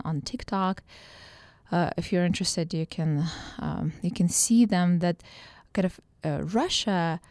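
A woman from Ukraine, a political science professor, answering radio interview questions thoughtfully with frequent pauses and fillers. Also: fairly low pitch, dark tone, no echo. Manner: thoughtful, with many hesitations and fillers.

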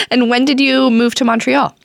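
A woman hosting a radio interview, friendly and quick to laugh, on a studio microphone. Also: a little high, gravelly, no echo. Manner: friendly, laughs often, self-deprecating. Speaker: radio host.

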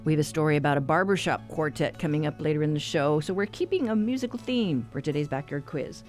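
A man hosting a public radio show, introducing segments and reading a quiz in a measured, clear announcer manner into a studio microphone. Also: on the high side, over music.

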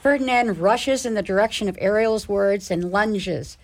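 A woman's voice: medium pitch, moderate pace, darkish tone, lightly acted. A woman narrating a scripted radio drama in a formal storytelling manner.

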